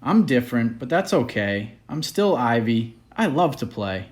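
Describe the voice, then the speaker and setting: A man with a medium-pitched voice reading a children's picture book aloud. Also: big pitch swings, measured pace. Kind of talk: read-aloud children's story.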